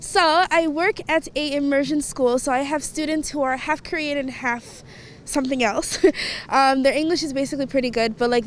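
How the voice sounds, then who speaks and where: A woman from Brooklyn, a teacher answering street interview questions in a lively, candid way. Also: high voice, a little hiss or hum. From Brooklyn, New York. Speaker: kindergarten teacher. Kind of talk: casual answers.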